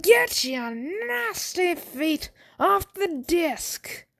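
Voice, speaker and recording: A woman voicing an angry, bossy schoolboy in a story, scolding slowly and emphatically with a put-on Irish accent. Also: very high voice, very animated, vocal fry, fairly bright tone, no echo, pitched above the performer's own voice, full character voice. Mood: threatening. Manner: indignant, scolding, slow emphatic delivery.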